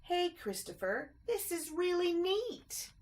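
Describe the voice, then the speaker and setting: A woman voicing a daring, reckless little boy mouse in a children's storybook, high and excitable. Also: unhurried, full character voice. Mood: eager. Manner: eager, then squealing in fright.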